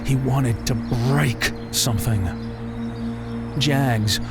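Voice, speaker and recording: Slow, dramatic male story narrator. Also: medium pitch, loud music behind the voice. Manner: deliberate, dramatic. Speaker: audiobook narrator.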